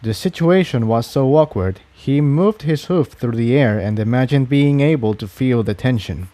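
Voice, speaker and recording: A man's voice: medium pitch, moderate pace, warm tone, no room sound. A man reading a story aloud as narrator, in scripted storytelling style. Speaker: amateur fan fiction reader.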